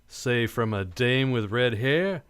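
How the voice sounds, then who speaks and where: An actor playing a cynical, wisecracking private detective in an old-time radio play, blunt, wry and clipped. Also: male voice, mid-range voice, expressive, takes it slowly, a bit bright, smooth. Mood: cool, wry.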